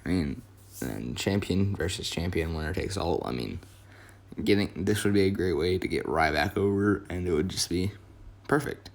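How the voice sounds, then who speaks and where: A hoarse young man, his voice worn from yelling, rambling casually through a wrestling talk with many fillers and self-corrections. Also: sits low, normal speed. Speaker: wrestling video host. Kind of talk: casual fantasy-booking commentary.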